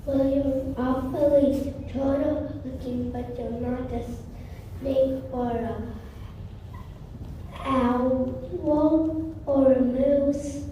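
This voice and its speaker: A female reader as a patient, very slow-talking animal, echoey. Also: high-pitched, steady tone, muffled recording. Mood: patient, certain. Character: patient, matter-of-fact animal questioning a confused turtle. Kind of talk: classroom reader's theater. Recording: very echoey distant room, faint noise.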